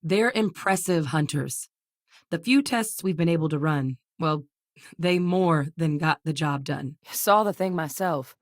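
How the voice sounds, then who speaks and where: Earnest game scientist: low, measured, candid, dry studio read. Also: female voice, dry recording. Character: earnest woman scientist, candid and quietly troubled. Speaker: game character. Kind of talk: video game dialogue.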